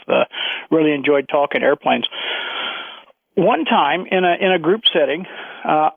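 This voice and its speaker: An American man in his sixties calling a radio show, telling a recollection in an earnest, halting way. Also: fairly high pitch, creaky, no echo. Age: 60s. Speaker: caller, retired aerospace engineer. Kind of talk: recounting a memory on a phone-in. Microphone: telephone. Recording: tinny narrow phone line.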